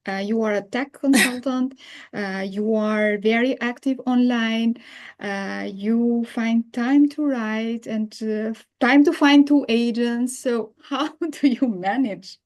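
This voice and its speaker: A woman's voice: a little high, slow pace, a little mellow. A woman podcast host whose first language is not English, chatting casually and hesitating as she searches for words. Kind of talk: casual interview chat.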